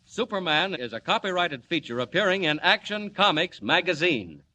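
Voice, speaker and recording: A faintly hissy old radio recording of a male announcer. His high, forceful voice swoops theatrically through dramatic scripted narration in declamatory 1940s serial style. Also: big pitch swings, moderate pace.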